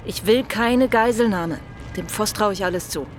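An actress playing a brisk, controlled female police chief in an audio drama, curt and commanding. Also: mid-range voice, noisy background, close to an everyday voice. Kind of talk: audio drama acting and narration.

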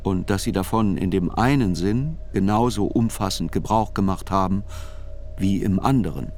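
Male narrator, low, sober, deliberate phrasing, scripted literary radio narration, faint noise behind. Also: darkish tone. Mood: sober, reflective. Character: sober male chronicler narrating a criminal trial.

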